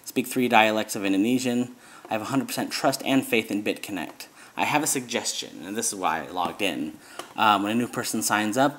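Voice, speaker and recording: A man's voice: lowish voice, a bit bright, slight background noise. An American man who grew up abroad, talking casually in a rambling way with many fillers and restarts, as host of his own video. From United States. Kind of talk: casual vlog talk.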